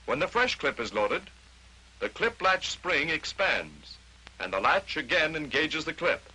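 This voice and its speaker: A man's voice: on the high side, very animated, a little slow, pushed and bright, husky, low-quality recording. An American man narrating a 1940s instructional training film, explaining step by step in short declarative statements on a vintage film soundtrack.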